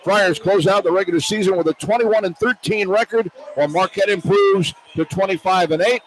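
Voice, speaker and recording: A man's voice: sits high, brisk, slight background noise, low-quality recording. A man working as a radio play-by-play sportscaster, giving basketball broadcast commentary from courtside in an arena.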